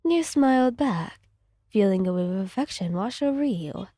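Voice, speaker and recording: Woman, ASMR story narration, mellow tone, dry close sound, soothing delivery. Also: mid-range voice, expressive, normal speed, no echo. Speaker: fan fiction reader.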